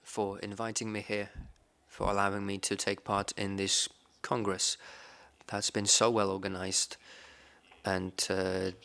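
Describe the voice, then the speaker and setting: A man interpreting a conference panel into English, in an even, measured delivery with small fillers. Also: on the low side, clean tone. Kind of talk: simultaneous interpretation.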